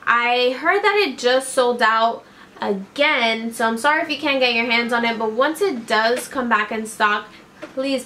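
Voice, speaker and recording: A woman's voice: a little high, fairly bright tone, slight background noise. Gushing young woman beauty vlogger. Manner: gushing, upbeat, heavy use of "like" and "obsessed". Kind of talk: casual product review to camera. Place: small indoor room with string lights.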